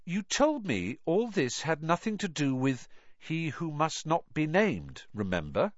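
A man's voice: fairly high pitch, sing-song, a little slow, dry recording, muffled recording. A man voicing a plucky, good-natured schoolboy hero in an audiobook, plain-spoken and modest. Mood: puzzled, mild.

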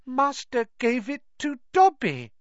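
Tiny devoted house-elf, squeaky high, shrill, emphatic storybook creature. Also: male voice, huge pitch swings, takes it slowly, dry recording, highs cut off, full character voice. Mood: awed disbelief. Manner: speaks of self in third person, emphatic. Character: small male house-elf servant, fervent and devoted.

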